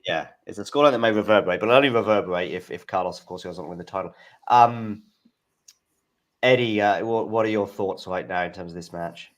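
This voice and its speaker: A man hosting a casual tennis watchalong chat, talking in halting starts and restarts and reading out scores. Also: low-pitched, muffled recording. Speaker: host.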